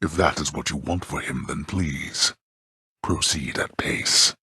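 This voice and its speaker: Game casting: stern god of the underworld, bottomless bass with a husky rasp, imperious, disdainful, archaic in diction. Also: male voice, measured pace, pushed and bright, no room sound, studio quality, full character voice. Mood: cold reprimand. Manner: haughty, scornful, archaic formal diction. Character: stern, contemptuous god of the dead, imperious father. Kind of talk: video game character voice acting.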